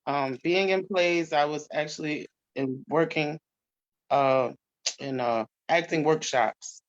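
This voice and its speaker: Dull lo-fi recording of an actress. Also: female voice, sits low, slow pace. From New York, US. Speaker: stage actress being interviewed. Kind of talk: casual interview answers. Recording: dull, lo-fi audio.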